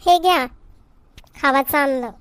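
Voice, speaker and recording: Woman voicing exasperated village wife, Varhadi Marathi accent, comedy cartoon, extremely high pitch, quick scolding delivery, dry sound. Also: no room sound. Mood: matter-of-fact. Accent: Varhadi Marathi from Vidarbha. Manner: scolding, complaining, sharp-tongued. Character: exasperated, hard-working village wife and mother who talks back.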